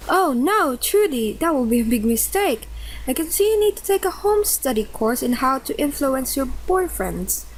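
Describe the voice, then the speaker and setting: A woman playing a cheerful, encouraging family member who gently teases in a radio play. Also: sits high, sing-song, moderate pace, poor audio. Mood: knowing, teasing.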